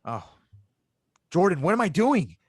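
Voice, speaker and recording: A man's voice: high-pitched, big pitch swings, measured pace, slightly rough, no echo, full character voice. Man acting an anxious young space engineer, dry sound. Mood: panicked. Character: anxious young male genius engineer, self-doubting and sardonic. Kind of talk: audio drama table read.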